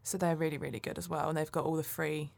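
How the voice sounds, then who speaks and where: A woman's voice: low-pitched, flat delivery, fast talker, vocal fry, a little mellow. A woman interviewing an actor, engaged and conversational, asking questions and sharing advice. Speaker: interviewer host. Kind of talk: casual interview chat.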